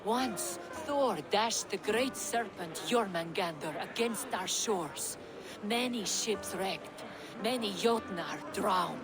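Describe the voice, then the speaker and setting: An actress playing a hospitable, playful giantess hostess in a Norse video game, stately, like a storyteller. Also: female voice, medium pitch, takes it slowly. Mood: storytelling. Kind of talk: video game cutscene dialogue. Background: music.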